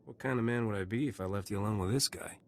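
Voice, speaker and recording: An actor playing a cocky, roguish smuggler captain in a video game, swaggering and wry. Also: male voice, on the low side, fast talker, natural voice.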